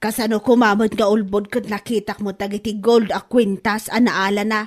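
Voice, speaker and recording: A woman playing a quarrelsome, gullible, indignant woman in a comedy audio drama, with an Ilocano accent and drawn-out exasperated delivery. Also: medium pitch, level tone, lightly acted. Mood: aggrieved, complaining. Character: quarrelsome, gullible woman accusing a bag seller of lying. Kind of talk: Ilocano comedy radio-style drama acting.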